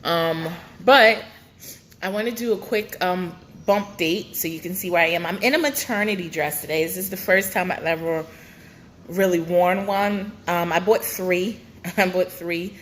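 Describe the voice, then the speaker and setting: A woman's voice: lowish voice, unhurried, fairly bright tone, slight background noise. A young woman vlogging a casual pregnancy update, chatty and rambling with many fillers, her nose stuffed up.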